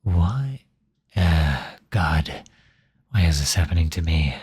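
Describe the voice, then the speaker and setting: A man's voice: very deep voice, lively intonation, slow, a little mellow, breathy edge, full character voice. A man acting in an ASMR roleplay as a grumpy, exasperated male creator with a sarcastic, flustered, grudging manner.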